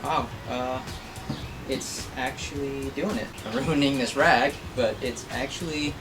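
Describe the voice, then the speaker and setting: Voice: mid-range voice, steady tone, a little slow. A person giving casual, self-deprecating hobby commentary in an informal way, talking through each step of the work. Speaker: craft video creator. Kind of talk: casual hobby vlog commentary while painting. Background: music.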